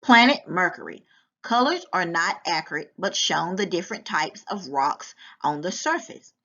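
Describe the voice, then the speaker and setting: A woman reading a nonfiction book aloud to children in a deliberate, instructive way. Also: lowish voice, lively intonation, bright, forceful tone. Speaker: volunteer children's book reader. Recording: dull, lo-fi sound.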